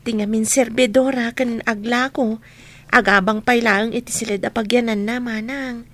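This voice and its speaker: An actress plays a young, naive new maid. Her voice is high and polite, with an innocent questioning manner and an Ilocano accent, in radio comedy style. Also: steady tone, moderate pace, close to an everyday voice. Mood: puzzled, polite.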